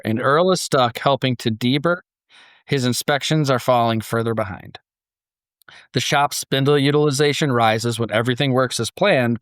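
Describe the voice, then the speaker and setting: A man with a medium-pitched voice hosts a panel podcast. He sounds conversational and easygoing in style, whether chatting or reading a story aloud. The recording is close and dry. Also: dry recording. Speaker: podcast host.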